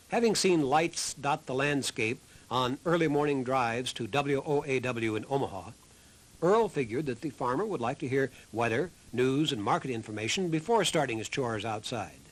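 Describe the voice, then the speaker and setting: A man's voice: mid-range voice, low-quality recording. A man reading scripted narration as the narrator of a documentary. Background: music behind the voice.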